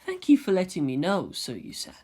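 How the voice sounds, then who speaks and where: Woman doing a calm polite teen boy, soft and measured, fanfic story voice. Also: on the low side, breathy edge, heavily acted. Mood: calm, gently courteous. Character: pale, serene, courteous teenage boy. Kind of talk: character voice in fan fiction reading.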